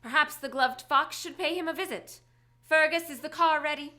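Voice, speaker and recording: Casting: actress for a decisive masked heroine of radio theatre, high, crisp-edged bright voice, brisk orders. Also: female voice. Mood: brisk, determined. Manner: brisk, commanding. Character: brisk, decisive socialite turned masked vigilante. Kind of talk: live radio theatre acting.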